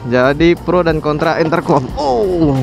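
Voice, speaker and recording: A man's voice: a little high, animated, measured pace, vocal fry, over music. A man talking casually to viewers as a motorcycle vlogger, explaining things conversationally and often checking in with his listeners. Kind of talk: casual motovlog talk to viewers.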